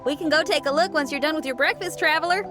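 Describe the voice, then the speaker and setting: An eager young woman adventurer , played by a woman, chats casually in a video game. Her voice is high and cheerful. Game music plays clearly beneath her. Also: quick pace, lightly acted. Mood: friendly. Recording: game dialogue over background music.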